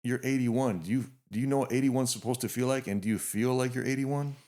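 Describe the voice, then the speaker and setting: A man's voice: medium pitch, fast, mellow. A fifty-year-old man hosting a podcast, talking earnestly and reflectively to his guest. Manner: earnest, reflective, frequent restarts. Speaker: podcast host. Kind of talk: heartfelt interview introduction.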